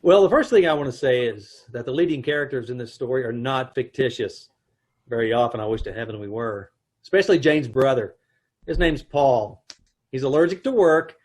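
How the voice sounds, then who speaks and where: A man's voice: medium pitch, a little fast, husky. A man narrating and playing a dry, joking husband in an old-time radio comedy recreation. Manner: dry wry delivery with chuckles. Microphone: home mic on video call.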